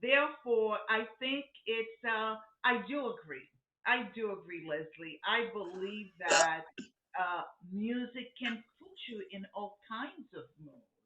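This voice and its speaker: A woman's voice: medium pitch, expressive, takes it slowly, noisy background. A woman hosting a casual conversation, guiding it along and summing up her guest's points. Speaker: host. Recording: echoey, dull room sound.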